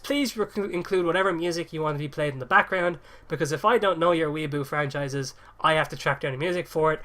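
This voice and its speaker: A man's voice: on the high side, sharp tone. A man in his early twenties hosting a podcast with a cold, rambling, laughing and swearing freely. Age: early 20s. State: sniffly with a cold. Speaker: podcast host. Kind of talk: casual podcast chat.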